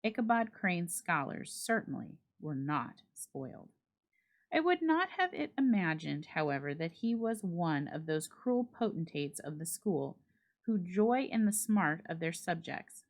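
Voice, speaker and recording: A woman narrating a classic short story as a dramatic literary reading, in a measured, expressive storytelling manner. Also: on the low side.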